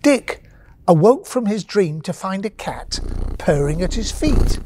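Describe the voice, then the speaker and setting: A woman narrating a bedtime story with gentle, expressive pacing and dramatic pauses. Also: medium pitch, a little hiss or hum.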